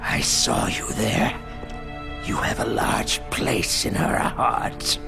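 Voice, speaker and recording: An actor playing a dying psychic villain in a video game, sinister and mournful, with a breathy rasp and slow, theatrical delivery. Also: male voice, on the low side, over music, exaggerated voice. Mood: weakening, eerily calm. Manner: slow, halting, dramatic phrasing. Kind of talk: video game cutscene acting.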